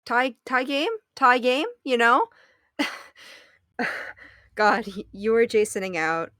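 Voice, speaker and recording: A woman's voice: fairly high pitch, expressive, slow, sharp tone, no echo, clean studio sound, full character voice. A woman acting an affectionate, teasing wife in a naturalistic audio drama table read, conversational and playful. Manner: conversational, playful, explains with examples. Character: affectionate, teasing wife consoling and humoring her partner.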